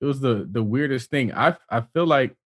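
A man's voice: medium pitch, normal speed, no echo, studio quality. A man co-hosting a podcast, bantering casually and putting questions to his co-host.

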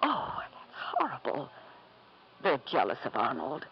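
A genteel society wife and mother in an old radio drama. She is refined, earnest and anxious, with a very lively rise and fall in her voice. The broadcast sounds muffled and slightly noisy. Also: extremely low pitch, unhurried, full character voice. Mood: worried, defensive. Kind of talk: 1950s radio drama acting.

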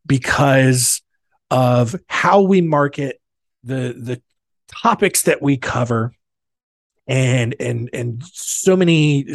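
A man with a slightly husky, raspy voice hosts his podcast in a loose, conversational way, stopping and restarting his sentences often. The sound is dry and close. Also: mid-range voice, dry recording. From North Carolina, USA. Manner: conversational, many fillers and restarts. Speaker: podcast host. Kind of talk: casual solo podcast talk.

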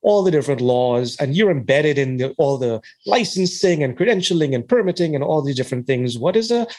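Enthusiastic male podcast host, higher voice. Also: animated, a little fast, a little mellow. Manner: enthusiastic, affirming, rising emphasis on key words. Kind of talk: conversational interview.

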